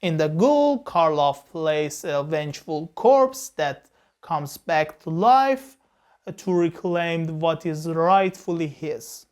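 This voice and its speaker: Woman, film review host, unhurried pace, measured lecturing tone, frequent "uh" pauses. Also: sits low, lively intonation, a little mellow. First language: Persian. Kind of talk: explanatory film review talk.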